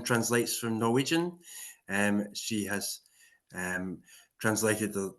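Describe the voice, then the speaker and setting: Halting man hosting a panel. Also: on the low side, pushed and bright. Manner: halting, many fillers and restarts. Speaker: panel host. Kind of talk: hesitant panel moderation.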